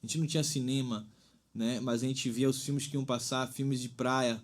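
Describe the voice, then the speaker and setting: A man's voice: medium pitch, fairly even intonation, moderate pace. Man, reflective and rambling. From Bahia, Brazil. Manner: thoughtful, rambling with frequent "né?". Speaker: singer-songwriter guest. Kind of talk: reflective interview talk.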